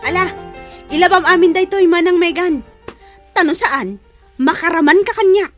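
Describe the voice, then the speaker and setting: A woman's voice: extremely high pitch, moderate pace, a bit bright, soft background music. A woman playing a spoiled young sister-in-law in a radio drama, haughty, mean and demanding. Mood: disdainful. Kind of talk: serial radio drama acting in Ilocano.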